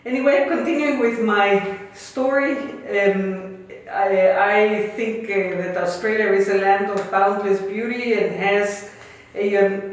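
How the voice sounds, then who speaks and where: A woman in her fifties with a Guatemalan Spanish accent tells her story in earnest, formal phrasing. Her voice sits at a middle pitch and rings through a very echoey room. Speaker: interview guest, campaigner. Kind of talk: prepared personal story, read aloud.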